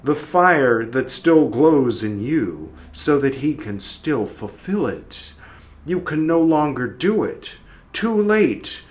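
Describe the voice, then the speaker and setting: A man reading aloud as a solemn disembodied soul, questioning the dead slowly and gravely. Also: medium pitch, very animated, voice pushed up. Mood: stern. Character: solemn disembodied soul, male, stern and probing.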